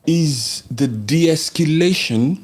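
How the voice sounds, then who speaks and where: A man's voice: a little high, takes it slowly, darkish tone, slightly rough. A man from Congo hosting a casual live-stream chat, blunt and joking, swearing casually as he talks. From Democratic Republic of Congo. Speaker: podcast host.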